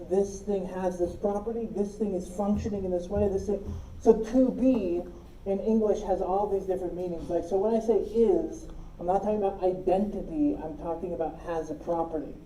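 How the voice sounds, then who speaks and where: A woman's voice: medium pitch, dark tone, echoey room, a little hiss or hum, low-quality recording. A woman teaching a logic class, explaining step by step and often checking that her students follow. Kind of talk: classroom lecture.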